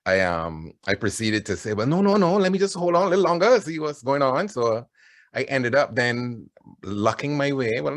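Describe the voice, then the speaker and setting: A middle-aged man with a Barbadian accent, telling personal stories on a panel in a candid, wry, conversational way. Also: low-pitched. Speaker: panelist, advertising creative.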